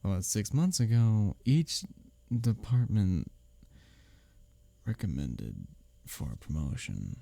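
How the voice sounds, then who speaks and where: A man's voice: on the low side, gravelly, no room sound, exaggerated voice. A man playing a teasing, earnest male office rival who is secretly smitten, in an intimate ASMR roleplay audio drama. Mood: sincere, hesitant. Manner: expressive, halting confessions with soft chuckles and sighs.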